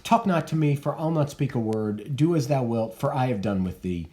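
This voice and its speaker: A man's voice: mid-range voice, expressive, moderate pace, dark tone, pitched above the performer's own voice, lightly acted. A man reading the part of a cold noble mother who speaks in a curt, dismissive way.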